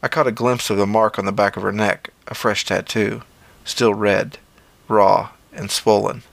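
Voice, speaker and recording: Low gravelly male story narrator. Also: level tone, normal speed, no echo. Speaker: audio fiction narrator. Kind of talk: scripted first-person story narration.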